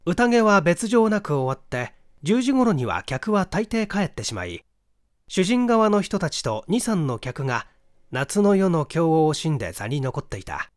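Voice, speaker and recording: A middle-aged man reading literary mystery fiction aloud in an expressive, dramatic storytelling manner. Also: on the high side, measured pace, no echo, studio quality. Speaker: audiobook reader, stage actor.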